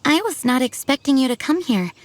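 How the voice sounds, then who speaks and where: A woman playing a dutiful young knight commander in a video game, speaking in an earnest, formal, measured way. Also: sits high, sing-song, slight background noise. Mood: earnest, reflective. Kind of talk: video game character acting.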